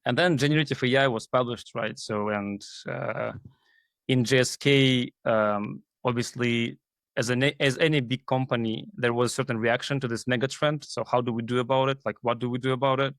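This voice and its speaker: A man's voice: medium pitch, fairly even intonation, moderate pace, a bit bright, no room sound. A man from Kazakhstan speaking as an interview guest, explaining his work in a hesitant way full of filler phrases. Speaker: guest, AI team leader. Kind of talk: interview talk.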